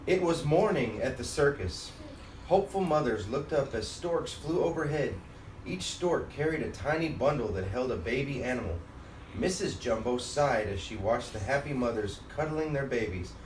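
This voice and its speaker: A man reading a children's storybook aloud as a father and host, in an expressive manner. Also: mid-range voice, normal speed. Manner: expressive, acts out character lines. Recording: roomy, distant mic, faint background noise.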